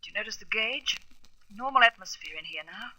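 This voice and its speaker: A clever, level-headed spacewoman in a radio adventure. She speaks precisely and matter-of-factly, yet with very lively swings of pitch. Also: fairly quick, rough recording. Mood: composed. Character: level-headed, knowledgeable female space crew member. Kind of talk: radio drama acting.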